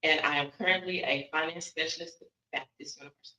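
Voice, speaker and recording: Forceful, sharp-voiced woman in her fifties. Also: low-pitched, fairly even intonation, quick pace, echoey room, recorded from a distance, low-quality recording. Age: 50s. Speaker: panel guest. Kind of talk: brief self-introduction.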